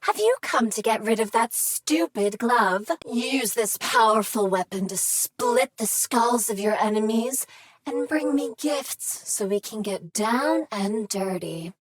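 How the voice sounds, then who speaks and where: A woman's voice: fairly high pitch, animated, slow pace, no echo, rough recording. An actress voicing a flirtatious female machine in a video game, purring and teasing. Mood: sultry. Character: flirty female machine, sultry vending unit. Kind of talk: video game character dialogue.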